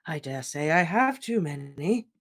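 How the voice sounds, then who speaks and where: A reader voicing an old male headmaster wizard, speaking in a calm, measured, gentle way. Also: mid-range voice, voice pushed up, heavily acted. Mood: serene. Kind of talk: audiobook character reading.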